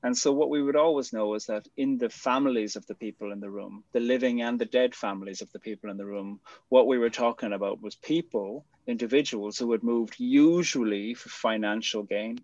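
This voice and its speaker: A man's voice: medium pitch, brisk, hardly any pauses, dry recording, lo-fi sound. A man from Ireland with an Irish accent, speaking as a guest in a reflective conversation and acting out the quotes in his stories. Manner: animated storyteller, acts out quotes.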